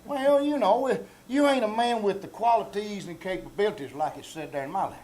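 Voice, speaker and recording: Man playing a pompous, high-pitched Southern country fellow. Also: a little fast, a little mellow, natural voice. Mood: condescending. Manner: folksy, pompous, blustering drawl. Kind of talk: old-time radio comedy acting, live reenactment. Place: small theater stage before audience. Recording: live small theater stage, background noise.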